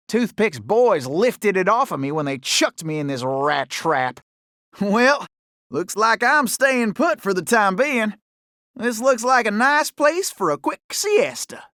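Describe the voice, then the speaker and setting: A man's voice: very high voice, bright, forceful tone, no echo, studio quality, heavily acted. A man voicing a folksy, wisecracking cowboy gunslinger animal in a video game, with a Southern Old West drawl and colorful frontier slang. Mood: indignant, scheming, then laid-back. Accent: American Old West Southern drawl. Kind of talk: video game character acting.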